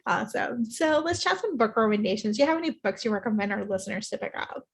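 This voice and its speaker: A woman's voice: medium pitch, animated, fast talker. A woman hosting a casual interview show, speaking in an effusive, informal way. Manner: effusive, chuckles between phrases. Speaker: podcast host.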